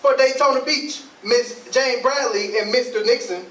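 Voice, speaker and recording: A man's voice: very high voice, moderate pace. A man acting a showy master of ceremonies, announcing grandly in a stage reading. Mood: rousing announcing. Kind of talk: stage readers theatre announcing. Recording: echoey, distant mic, clear noise, clicks.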